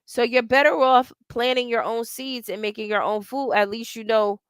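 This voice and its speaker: A woman's voice: on the high side, fairly even intonation, normal speed, clear, resonant tone, dry recording. A woman in her forties hosting a casual livestream, talking in a blunt, profane and rambling way and reading out viewer comments. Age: 40s. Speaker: livestream host.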